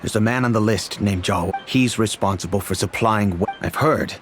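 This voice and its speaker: Low-voiced, courteous gentleman father played by a man. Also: close to an everyday voice. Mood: obliging. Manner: formal, deliberate. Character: measured, courteous wealthy father and master of the house. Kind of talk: video game voice acting. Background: music.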